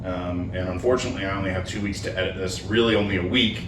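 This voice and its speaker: A man with a low voice hosts a casual podcast chat, relaxed and rambling. He sits some way from the mic, so he sounds roomy and distant. A noisy background with a football game on surrounds him. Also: quick pace. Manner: relaxed, rambling, with frequent fillers and laughs. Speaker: podcast host and band member. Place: living room with a football game on.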